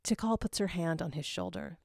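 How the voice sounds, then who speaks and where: A woman's voice: lowish voice, brisk, creaky, a little mellow, breathy edge, no echo, studio quality. A woman reading scripted fiction aloud as a dramatic narrator.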